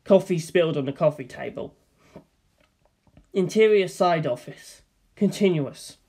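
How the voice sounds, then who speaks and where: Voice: mid-range voice, animated, a little slow, warm tone, breathy edge. A narrator reading screenplay scene headings and action lines as scripted narration.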